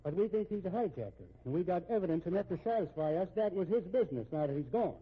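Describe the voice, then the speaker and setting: An actor playing a chatty, assured police officer in a 1950s radio drama. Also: male voice, high-pitched, lively intonation, quick pace, husky. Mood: matter-of-fact recounting. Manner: folksy, talkative, assured. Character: chatty, unworried Irish-American male police officer. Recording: muffled, tinny old radio recording.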